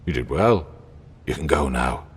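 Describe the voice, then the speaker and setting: An actor playing a commanding crime boss in a video game, measured, formal and coolly patronizing. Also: male voice, expressive, heavily acted. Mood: calm, commanding. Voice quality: very deep voice. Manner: measured, formal, smooth-talking.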